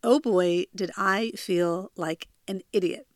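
A woman's voice: mid-range voice, slow pace, no echo, clean studio sound. An American woman in her forties from New Jersey, hosting a self-help podcast in an earnest, confiding, chatty way from a bedroom. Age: 40s. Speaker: podcast host. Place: childhood bedroom.

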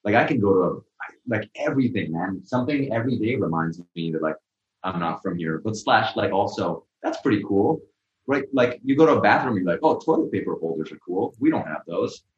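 A man's voice: lowish voice, animated, fairly quick, reverberant, muffled recording. A young man who grew up in Pakistan, talking loosely in an interview with lots of "like" and restarts. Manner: loose, rambling, full of "like" and restarts. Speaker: guest. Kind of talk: casual interview conversation.

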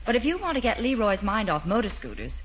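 On a dull vintage radio recording with faint noise, a poised lady school principal. She is sensible and gently teasing, with a lively lilt and the style of 1940s radio comedy. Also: mid-range voice, fast talker.

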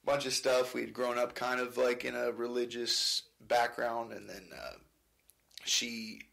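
An American man in his twenties chatting casually as a podcast guest, in a halting, rambling, slangy way. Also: mid-range voice, little pitch movement, creaky, bright, forceful tone. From United States, California. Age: 20s. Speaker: podcast guest, amateur fighter. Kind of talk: casual chat.

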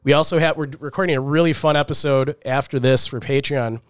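A man's voice: medium pitch, steady tone, a little fast, smooth, dry recording, studio quality. Congested man co-hosting a podcast. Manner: loose, chatty, with many fillers. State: congested from a cold. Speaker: podcast co-host. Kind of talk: casual podcast chat.

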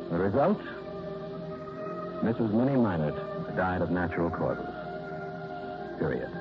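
A hard-boiled investigator with a low voice that rises and falls expressively. His narration is clipped and wry. Music sits behind the muffled old-radio sound. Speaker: narrator and lead. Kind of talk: 1950s radio detective narration.

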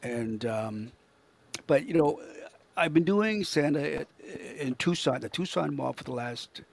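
Older man, high creaky voice. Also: expressive, a little slow. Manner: rambling, earnest, repeats words and phrases. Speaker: professional Santa Claus performer, interview guest. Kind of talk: casual anecdotal chat.

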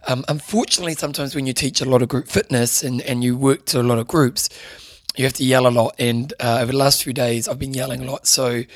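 A hoarse man, his voice strained and worn, talking through his podcast in a loose, rambling way. His pitch sits in a middling range, and the recording is close and dry with no room sound. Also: steady tone, fast, dry recording. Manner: rambling, many restarts and fillers. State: strained, losing voice. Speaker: podcast host. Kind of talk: casual podcast monologue.

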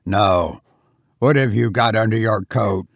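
A man's voice: on the low side, unhurried, vocal fry, dry recording, studio quality. A man playing a grim, grieving doctor and father in a story reading, weary and pleading with a groan in his voice.

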